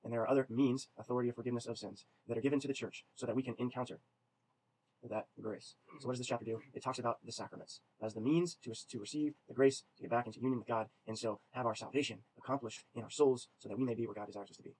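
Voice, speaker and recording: A man in his thirties giving a recorded webcam lecture on religion, talking quickly and conversationally and often checking in with "right?". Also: medium pitch, fairly even intonation, a little mellow, slight background noise, low-quality recording. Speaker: religion teacher. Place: small indoor room. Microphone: webcam at desk.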